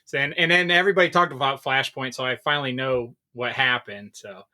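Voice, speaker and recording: A man's voice: medium pitch, fairly even intonation, brisk, bright, forceful tone. A man co-hosting a podcast, talking in a rambling, enthusiastic way and swearing casually. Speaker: podcast co-host.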